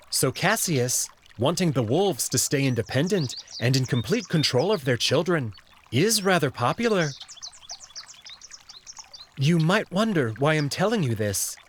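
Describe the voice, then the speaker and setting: A man's voice: fairly high pitch, slight background noise. A man voicing a friendly, well-spoken alien servant in a visual novel, explaining things politely. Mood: confiding. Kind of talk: visual novel character reading.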